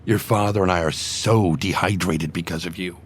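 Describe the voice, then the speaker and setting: A man voicing a gentle, sentimental aging father animal in a motion comic, speaking tenderly and with emotion in a soft, husky voice. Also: sits low, steady tone, moderate pace, a little hiss or hum, lightly acted. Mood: earnest, affectionate.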